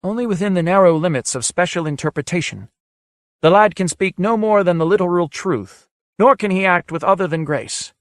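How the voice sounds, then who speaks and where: A man's voice: high-pitched, fairly quick, no room sound, clean studio sound, voice pushed up. A man voicing an aloof ancient sage. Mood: solemn reproach. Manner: solemn, formal and reproachful. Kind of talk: audiobook character voice.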